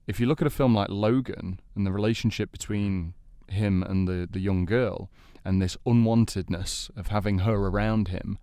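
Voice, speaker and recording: A man with a low voice speaks as a panel host in a casual debate. He lays out his points in a measured, explanatory manner. The sound is dry, with very little room in it. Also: steady tone, mellow, dry recording. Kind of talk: casual panel debate about games and film.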